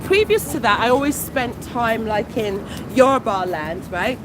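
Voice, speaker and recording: A woman in her forties with a British accent talks on a livestream in a high, bright voice, chatty and quick to exclaim. She stands on a busy street with her phone held out. Traffic hums loudly behind her. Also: lively intonation. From United Kingdom. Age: 40s. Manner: chatty, exclamatory, warm asides. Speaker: vlogger. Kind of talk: casual livestream chat. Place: outdoors on a city street. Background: passing traffic.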